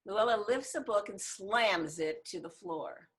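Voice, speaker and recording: A woman's voice: mid-range voice, unhurried, fairly bright tone. A woman reading screenplay stage directions as scripted narration over a video call.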